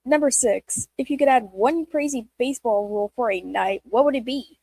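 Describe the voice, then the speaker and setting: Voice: mid-range voice, moderate pace, creaky, a little mellow, dry recording. A junior reporter reading out numbered interview questions.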